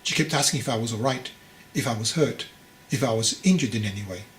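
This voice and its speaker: A man's voice: mid-range voice, quick pace, reverberant, close to an everyday voice, poor audio. A man voicing a fragile, anxious young would-be monk in a storybook, hesitant, earnest and deferential. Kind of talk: storybook character dialogue.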